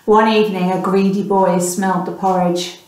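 A woman's voice: medium pitch, flat delivery, normal speed, a little mellow. A woman in her thirties reading a picture book aloud to a child, as a teacher and mother would. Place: small plain room indoors. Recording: very echoey room, distant microphone.

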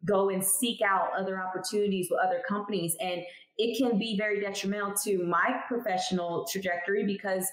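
A candid, emphatic woman in her thirties talks through her career as an interview guest. Her voice sits at a middle pitch and carries the roominess of a home room heard over a video call. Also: steady tone, brisk, roomy sound, rough recording. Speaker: guest, cannabis lab chemist. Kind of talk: casual interview talk. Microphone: headphones on video call.

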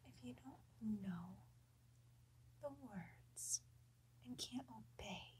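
A young woman in an ASMR roleplay playing a bossy, controlling girlfriend, speaking in slow, menacing, breathy whispers close to the mic in a small bedroom. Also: medium pitch, darkish tone, a little hiss or hum, low-quality recording. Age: young adult. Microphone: close camera mic.

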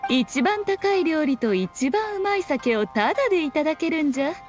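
A woman's voice: sits high, sing-song, normal speed, faint music behind. A woman voicing a sly, haughty fox-spirit shrine maiden in a Japanese anime game, with teasing, archaic noble speech. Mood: smug.

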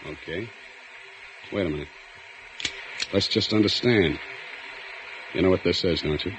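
An actor playing a touchy, menacing young escaped convict in a 1940s radio drama, curt and edgy. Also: male voice, sits low, a little slow. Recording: muffled old radio broadcast, loud hiss.

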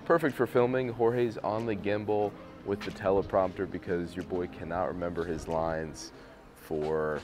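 A man's voice: low-pitched, fairly even intonation, moderate pace, music in the background. A young man chatting casually into a handheld camera on a trip, upbeat and joking though tired. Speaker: vlogger. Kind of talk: handheld travel vlog chat. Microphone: camera mic at arm's length.

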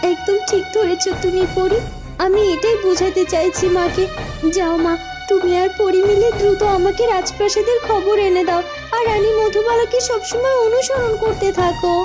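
Young cartoon heroine, played by a woman: extremely high girlish pitch, earnest, firm, music behind. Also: fairly even intonation, moderate pace. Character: brave young heroine, a determined daughter with magic. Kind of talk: cartoon fairy-tale voice acting.

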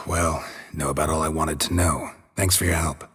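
An actor playing a gruff, world-weary monster hunter in a video game, with a dry, laconic, deadpan delivery. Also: male voice, moderate pace. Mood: dry, unhurried. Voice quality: low, gravelly rasp. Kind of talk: video game cutscene acting.

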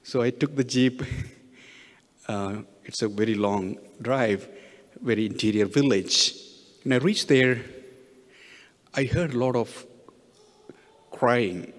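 A man's voice: medium pitch, slow pace, pauses often. Man preaching in a long echoing hall. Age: middle-aged. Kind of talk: homily with personal missionary stories. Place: church, at the pulpit.

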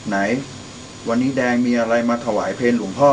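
A man's voice: mid-range voice, brisk, slight background noise, natural voice, poor audio. A young man acting the role of a father, a brash drinker who turns repentant, in a student radio drama. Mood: calm, repentant. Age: young adult. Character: drunken brash father, later a repentant gentle monk.

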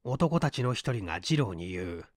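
A man's voice: on the low side, sing-song, normal speed, no echo, studio quality. A man narrating mystery fiction from a script as an audiobook reader, in a dramatic manner.

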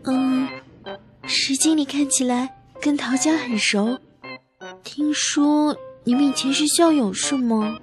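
A woman playing a timid young female office clerk in an audio drama, halting and hesitant. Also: high-pitched, over music. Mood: timid, curious.

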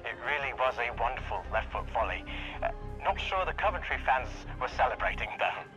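An actor playing a polite broadcast sports interviewer in a game, formal and courteous. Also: male voice, medium pitch, expressive, fairly quick, muffled recording, over music, lightly acted.

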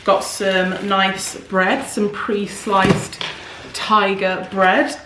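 Croaky-voiced woman chatting to camera. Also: mid-range voice, little pitch movement, drawn-out words, noisy background. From United Kingdom. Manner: chatty, rambling, frequent fillers. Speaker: vlogger. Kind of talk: casual vlog chat to camera. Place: parked car, then home hallway. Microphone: camera on dashboard, then handheld camera.

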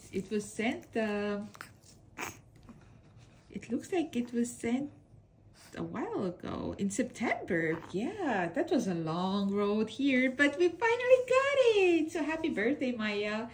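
A young woman reads letters aloud in an unhurried, gentle way, her pitch rising and falling a lot as she coos at her dogs. Her voice sits a little high, with a faint hiss of room noise behind it, recorded close on a phone at home. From Estonia. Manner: gentle, cooing baby-talk to her dogs, frequent soft laughs. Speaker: pet channel host. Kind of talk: casual vlog narration reading mail aloud. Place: home room with bed, off camera.

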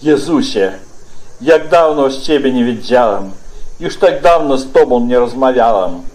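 A remorseful old beggar and thief in a religious play, acted by a man. The voice is middle-pitched and earnest, delivered as a heartfelt confession. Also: lively intonation, a little slow, darkish tone, natural voice, poor audio. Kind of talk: dramatic religious stage-play acting.

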